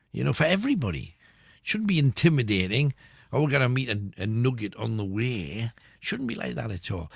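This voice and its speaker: A man hosting a late-night radio phone-in, chatting warmly with callers between songs. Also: mid-range voice, gravelly, dry recording, studio quality. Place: radio studio.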